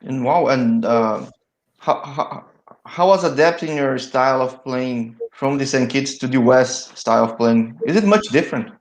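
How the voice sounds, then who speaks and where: A man's voice: mid-range voice, a little slow, reverberant, rough recording. A Brazilian man whose first language is Portuguese, hosting an online interview in a hesitant, word-repeating way. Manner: hesitant, repeats words while searching for phrasing. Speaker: interview host.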